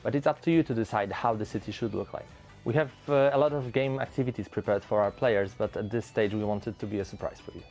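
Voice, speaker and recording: A man's voice: fairly low pitch, fast talker, darkish tone, soft background music. A man speaking as a game developer, giving prepared answers in a promotional interview.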